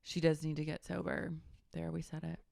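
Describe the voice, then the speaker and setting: A man's voice: on the high side, completely flat delivery, normal speed, gravelly, darkish tone, dry recording, clean studio sound. A male podcast co-host in casual chat, recapping a reality show and often acting out what people said. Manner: chatty, acts out quoted dialogue.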